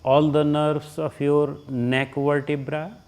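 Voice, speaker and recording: Very slow, smooth-voiced Indian man guiding meditation. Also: a little high, little pitch movement, darkish tone. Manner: short instructive phrases with long pauses. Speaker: yoga teacher leading guided meditation. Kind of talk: guided relaxation meditation instruction.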